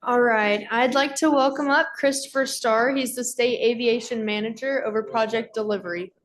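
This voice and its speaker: A woman's voice: a little high, steady tone, moderate pace. A woman emceeing a meeting, introducing the next speaker. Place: meeting room.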